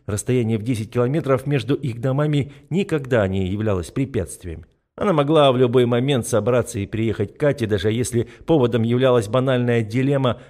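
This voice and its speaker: A man's voice: on the low side, warm tone. A man reading fiction as a scripted audiobook narration.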